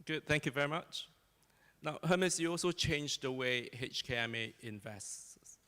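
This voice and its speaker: A man's voice: fairly high pitch, measured pace, sharp tone, clear, resonant tone, in a large hall. A man moderating an on-stage panel interview at a conference, reading introductions and adding jokes. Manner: joking, scripted introductions. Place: conference hall.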